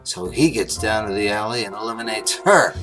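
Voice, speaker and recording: A man's voice: fairly low pitch, brisk, fairly bright tone, soft background music, natural voice, rough recording. A man as a blunt, slangy police inspector. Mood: pleased, confident. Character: brisk, slangy, down-to-earth male police inspector. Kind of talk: old-time radio mystery acting.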